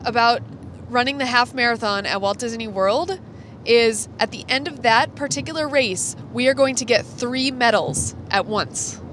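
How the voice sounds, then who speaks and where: Woman podcaster w a highish voice, excited casual chat from a moving car, obvious road noise. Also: moderate pace. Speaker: podcast co-host. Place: inside a moving car, while driving. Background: vehicle and road noise.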